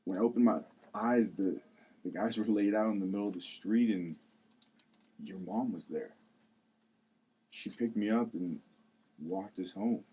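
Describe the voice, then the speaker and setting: A man reads the part of a protective, earnest confidant in a drama. His voice is very deep, mellow and husky. He talks in a confiding, conversational storyteller's manner. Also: a little slow, pauses often, rough recording. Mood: reflective, confiding. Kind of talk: script table read acting.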